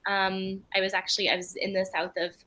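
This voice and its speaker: A woman from Belgium, a native French speaker, talks in an interview with a bright, clear and forward voice. She answers earnestly and candidly, reflecting as she speaks about her own experience. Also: mid-range voice, little pitch movement, brisk. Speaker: writer and retreat leader.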